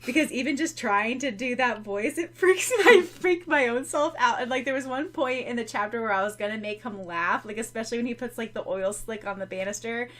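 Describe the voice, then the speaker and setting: Woman, fairly high voice, informal and giggly, casual podcast co-host. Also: brisk, few pauses, a bit bright. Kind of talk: casual chat.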